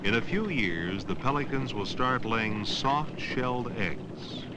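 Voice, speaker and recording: A man narrates an educational film from around 1970 in a measured, declarative style. His voice sits at a medium pitch and moves with lively inflection. The old soundtrack is clearly noisy, with boat and outdoor ambience behind him.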